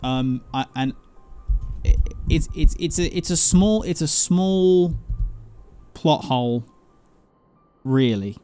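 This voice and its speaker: A man giving casual commentary on a game stream, animated, often breaking off and repeating himself. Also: on the high side, takes it slowly, pauses often, smooth. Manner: animated, self-interrupting, repeats phrases for effect. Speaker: streamer playing an online role-playing game. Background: game music.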